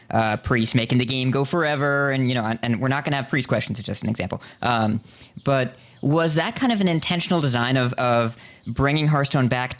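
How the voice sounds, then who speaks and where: A man co-hosting a podcast and asking questions in a casual, conversational interview style. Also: mid-range voice. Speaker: podcast co-host.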